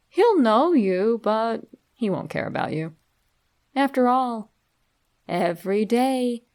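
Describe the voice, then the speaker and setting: A woman reading a scripted horror story straight to the listener in a dramatic, deliberate way. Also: mid-range voice, gravelly, darkish tone. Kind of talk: scripted creepypasta narration, addressed to the listener.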